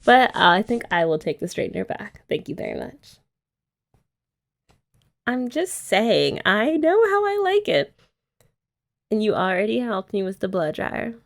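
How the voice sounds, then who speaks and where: A woman's voice: mid-range voice, sing-song, unhurried, gravelly, pauses often. A young woman doing girlfriend roleplay ASMR, chatty and teasing with rambling asides. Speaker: ASMR creator.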